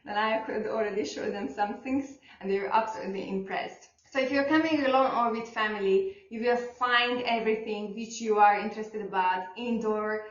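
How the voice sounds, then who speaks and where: Young woman, english not her first language, chatty and laughy, a bit high voice, echoey dull room. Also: normal speed, few pauses. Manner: chatty storytelling, laughs often, non-native phrasing. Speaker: real estate agent vlogger. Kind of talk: casual advice vlog.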